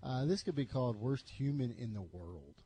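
A male sports radio host chats casually and tells stories. His voice sits in a middle pitch and has a warm, mellow tone. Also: expressive, normal speed, a little hiss or hum. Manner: conversational, storytelling.

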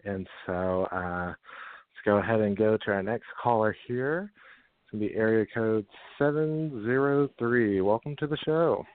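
Smooth low-voiced man hosting a call-in show. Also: dry recording. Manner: brisk, upbeat hosting patter. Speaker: radio show host. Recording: dry phone-line sound.

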